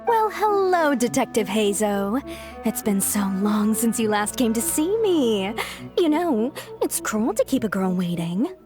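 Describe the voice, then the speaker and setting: Game role: coy, theatrical young female swindler, high and very animated, teasing and pouty. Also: a little slow, music in the background. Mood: coy reproach. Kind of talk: video game voice acting.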